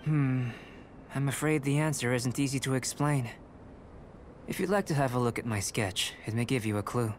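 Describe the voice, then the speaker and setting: A composed, scholarly young alchemist, played by a man, in a mid-pitched voice. He speaks formally and thoughtfully, courteous yet detached, as a video game character with music underneath. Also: slight background noise, natural voice. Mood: detached, musing. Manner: measured, formal, contemplative.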